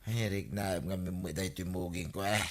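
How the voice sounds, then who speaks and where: A man with an Ilocano accent playing a sickly, complaining village husband in a radio comedy drama, plaintive and drawn-out. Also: low voice, steady tone. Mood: whining.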